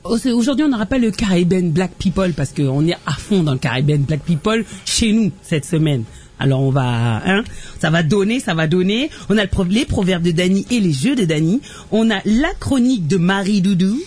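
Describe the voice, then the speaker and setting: A woman hosting a live radio show as lively chat, teasing and playful, rambling as she goes. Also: fairly low pitch, moderate pace, husky.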